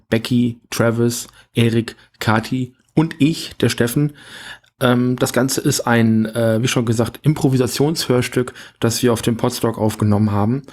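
A man presents an audio play in a podcast introduction. His voice is medium in pitch, and he explains things in a measured way. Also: a little mellow.

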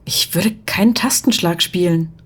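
A woman acting a tender, thoughtful character in an intimate audio spy drama, speaking softly and gently probing. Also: fairly low pitch, moderate pace, slightly rough. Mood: gently insistent.

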